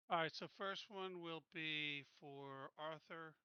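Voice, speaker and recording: A man's voice: fairly high pitch, extremely slow. A man chatting casually as a player in a tabletop game. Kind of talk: casual chat. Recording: dull, very noisy voice-chat audio with clicks.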